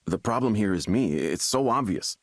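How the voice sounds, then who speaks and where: An actor playing a troubled young man desperate to stay normal, agitated and halting as he repeats himself in a video game. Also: lowish voice, animated, no room sound, studio quality. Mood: anguished, self-blaming.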